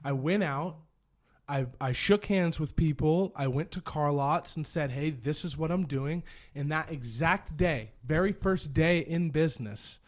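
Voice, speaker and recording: Animated man in his thirties. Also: a little high. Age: 30s. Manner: animated storyteller, repeats phrases when excited. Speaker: business owner guest. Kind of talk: casual conversation.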